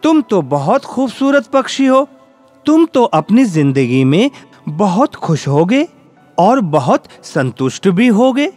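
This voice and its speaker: A man voicing a curious, admiring crow in a children's fable, earnest and expressive. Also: high voice, slow, faint music behind, heavily acted.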